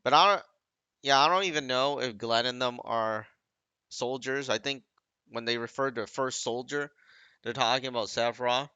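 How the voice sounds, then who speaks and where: A man with a bright, forward voice talks casually over a video game. He rambles and keeps second-guessing how to word things as he goes. The recording is very dry and a little dull, like a simple home setup. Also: medium pitch, normal speed, clear, resonant tone, dry recording. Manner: rambling, second-guesses his own wording. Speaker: gaming video creator. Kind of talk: casual off-the-cuff game commentary.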